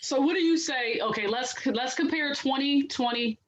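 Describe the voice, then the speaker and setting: Hoarse raspy nasal lady chatting on a podcast, lots of you know, kinda muffled audio. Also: sits high, normal speed, a little hiss or hum. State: hoarse, recovering. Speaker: podcast co-host. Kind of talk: casual chat.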